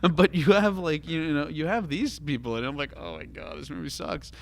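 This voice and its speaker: A man in casual, opinionated conversation as a podcast host, animated and swearing freely as he acts out reactions. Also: fairly high pitch, moderate pace. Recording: clear background noise.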